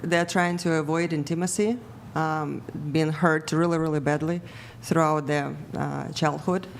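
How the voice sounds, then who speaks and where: A woman on a podcast panel explaining attachment styles slowly and carefully, with slightly nonnative phrasing. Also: deep voice, fairly even intonation, gravelly, slight background noise. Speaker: panel guest. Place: bright studio with a large panel. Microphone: desk mic on arm.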